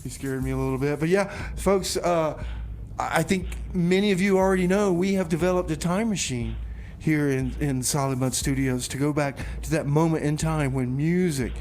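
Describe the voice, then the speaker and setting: A man hosting a community radio show, chatting casually and haltingly in a rambling, informal way with comedic banter. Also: a little high, a little mellow, slight background noise. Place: radio studio.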